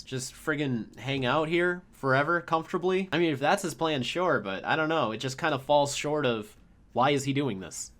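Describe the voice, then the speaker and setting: A man's voice: mid-range voice, fairly bright tone. A man giving a casual, opinionated review commentary with informal, slangy asides. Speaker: video reviewer. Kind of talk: casual review commentary over cartoon clips.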